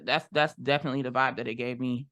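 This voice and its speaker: A man's voice: mid-range voice, steady tone, quick pace, bright, forceful tone. A man co-hosting a podcast, rambling in casual chat and laughing a lot. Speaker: podcast co-host.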